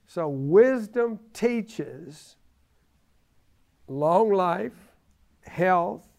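Older guy in his 70s, gravelly creaky voice, talks slow n halting, repeats himself teaching about faith. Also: high-pitched, expressive, darkish tone. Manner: halting, repeats phrases for emphasis. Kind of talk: conversational religious teaching.

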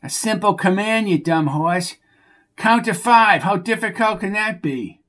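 A man's voice: high voice, expressive, measured pace, fairly bright tone, voice pushed up. A man voicing a dim-witted, heavyset male stable hand who sounds whiny, childish and defensive. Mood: taunting.

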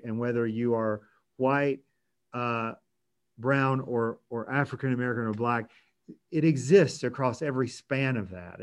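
A man hosting a conversational interview, speaking in measured sentences with some restarts and filler "um"s. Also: mid-range voice, lo-fi sound. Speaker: host from a hospice organization.

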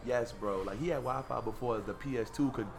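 Late-20s guy from florida hosting a podcast, gravelly fry, loose rambling storyteller. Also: mid-range voice, brisk. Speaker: podcast host. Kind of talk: casual podcast chat.

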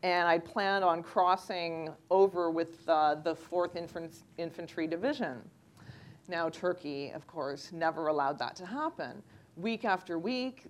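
A woman, a freelance journalist giving a measured, anecdotal personal account during a panel discussion. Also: on the low side, slight background noise. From Canada.

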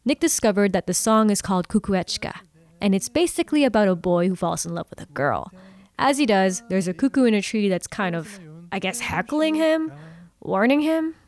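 A woman's voice: mid-range voice, animated, moderate pace, darkish tone. A woman hosting a podcast, telling a story in a conversational narrating style. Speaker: podcast host. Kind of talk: narration and co-host chat.

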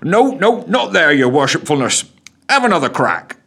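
A cheeky police constable who ribs his superiors with a chuckle, his voice raised higher than the storyteller's own, as a narrator puts him on. The sound is husky and slightly set back from the mic. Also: very animated, moderate pace, fairly bright tone, full character voice. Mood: teasing. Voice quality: teasing, chuckling.

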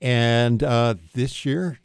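An older man answering as a radio interview guest, unscripted, in a measured, matter-of-fact way. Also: medium pitch, clean tone, dry recording.

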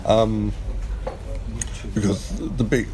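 A man's voice: fairly low pitch, extremely slow, a loud crowd, poor audio. A middle-aged man answering casually in an interview, hesitant and thinking aloud as he goes. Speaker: podcast producer, interview guest. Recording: clear steady ambient background noise.

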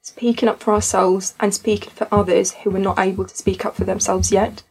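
A woman's voice: medium pitch, flat delivery, brisk, creaky, darkish tone, breathy edge, poor audio. A young woman from Tunisia, a student society founder, talking earnestly and reflectively in a podcast conversation.

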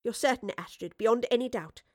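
A woman playing an earnest, urgent female professor and time traveller in an audio drama, speaking intensely in halting phrases. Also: fairly high pitch, no room sound, clean studio sound, voice pushed up, lightly acted. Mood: grave realisation.

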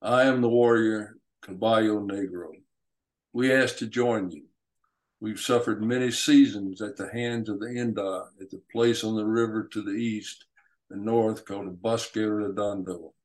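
A man voicing a solemn Apache warrior and father, speaking formally and earnestly in short, deliberate phrases as in an audiobook story. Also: on the low side, fairly even intonation, lightly acted. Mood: proud, appealing.

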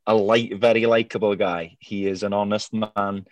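A man's voice: fairly low pitch, flat delivery, normal speed, no echo, lo-fi sound. A Welsh man whose first language is Welsh, telling chatty, laughing stories as a footballer guest on a video call. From North Wales. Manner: chatty, laughs often, many fillers. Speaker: goalkeeper guest. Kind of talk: casual storytelling interview.

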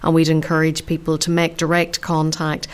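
A woman's voice: deep voice, monotone, moderate pace, clear, resonant tone. A woman with a Ballymena accent from Northern Ireland, hosting a radio interview in a direct, friendly way. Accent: Ballymena, Northern Irish. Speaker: radio host.

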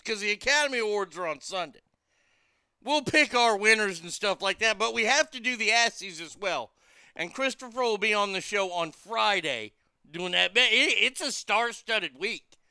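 A man hosts a casual talk radio show in a high, strikingly bright voice. He is loose, irreverent and crude as he reads out listener messages. The sound is dry and clear. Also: no echo.

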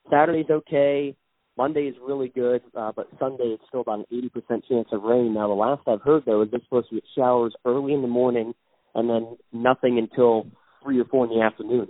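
A man speaks as a guest over the phone, talking freely but with lots of ums and restarts. His voice is at an ordinary pitch. The line is tinny and dry. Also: no echo. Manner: chatty, hesitant, with many fillers. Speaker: guest, racing fan. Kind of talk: casual phone interview.

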